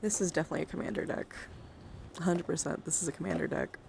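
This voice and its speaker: A woman's voice: lowish voice, steady tone, fast, creaky, noisy background. A woman livestream host chatting casually, rambling and swearing freely as she shows off a collection. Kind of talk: casual livestream chat showing a card collection. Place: indoors, livestream with handheld camera.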